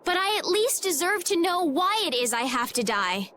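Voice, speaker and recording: High, earnest young game heroine. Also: female voice, very animated, normal speed, pushed and bright, slight background noise, full character voice. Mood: earnest, afraid. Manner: formal, pleading, heartfelt. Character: gentle, earnest teenage girl healer, politely determined. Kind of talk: dubbed fantasy game voice acting.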